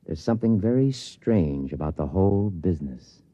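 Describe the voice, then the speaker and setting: A man's voice: low-pitched, animated, moderate pace, dark tone, dry recording. A man acting in an old-time radio drama as an authoritative, protective professor and father, commanding and dramatic. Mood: firm. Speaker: radio actor. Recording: dull lo-fi vintage radio recording.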